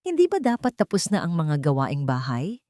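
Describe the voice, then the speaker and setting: A woman's voice: low-pitched, huge pitch swings, moderate pace, dark tone, dry recording. A woman narrator plays a worried husband and son in an audio drama. He is earnest and protective. The recording is dry, without room sound. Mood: concerned.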